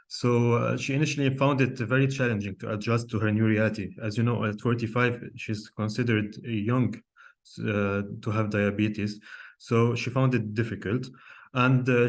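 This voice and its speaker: A man's voice: on the low side, fairly even intonation, moderate pace. A young man from Lebanon, a cardiologist, giving a live online lecture over a video call, hesitant with many fillers and restarts and questions to his audience. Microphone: computer mic on a video call.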